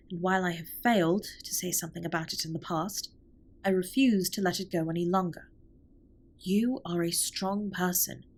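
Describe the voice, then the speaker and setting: A woman playing a formal, caring alien spaceship captain in an intimate audio drama, speaking in a measured, precise way with a breathy, husky voice. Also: fairly low pitch. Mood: firm, reassuring. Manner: formal, measured, precise wording with few contractions. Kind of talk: scripted roleplay audio drama, intimate comfort monologue.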